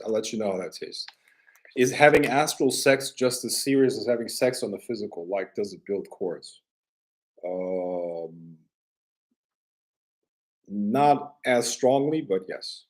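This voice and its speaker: A man chatting casually as a livestream host, halting and offhand, often repeating his phrases. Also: on the low side, lively intonation. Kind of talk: casual rambling livestream chat.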